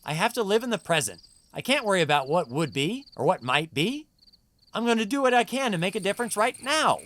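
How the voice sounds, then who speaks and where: Earnest, gentle young sorceress. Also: female voice, medium pitch, sing-song, brisk. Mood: earnest, resolute. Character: earnest, gentle young female heir to magic guild. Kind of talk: video game voice acting.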